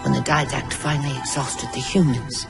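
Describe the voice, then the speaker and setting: A woman playing an ancient alien sage in a video game, solemn, measured and sorrowful in formal speech. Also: rumbling low, fairly even intonation, creaky, loud music behind the voice, poor audio. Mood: sorrowful recounting. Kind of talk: video game cutscene narration. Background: prominent eerie score.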